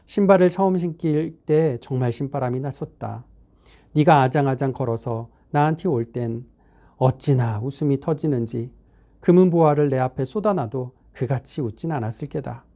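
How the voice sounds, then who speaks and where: A man's voice: mid-range voice, moderate pace, warm tone, lightly acted. A man reading as a tender dialect-speaking mother. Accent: Korean regional dialect. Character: dialect-speaking mother tenderly recalling raising her child. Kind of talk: dramatic reading of a text.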